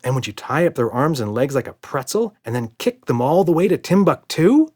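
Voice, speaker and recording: A man does an excitable little girl in a children's storybook. The voice is pushed up high and jumps around. She squeals and pleads with great eagerness. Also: fairly quick. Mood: excited. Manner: squealing, wheedling.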